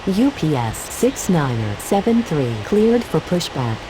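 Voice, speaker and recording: A woman's voice: low-pitched, very animated, unhurried. A woman giving scripted pilot and air traffic control radio calls in a clipped, procedural manner. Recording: heavy noise over the voice.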